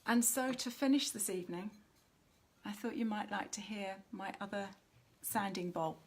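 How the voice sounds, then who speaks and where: A woman hosting a live spiritual oracle reading, speaking to viewers in a measured way with frequent pauses mid-sentence. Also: medium pitch, husky. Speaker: host of a spiritual card reading.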